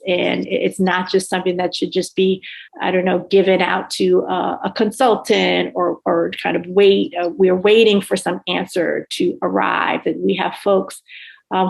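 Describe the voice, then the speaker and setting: Creaky-voiced woman on a panel. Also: lowish voice. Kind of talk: earnest panel discussion.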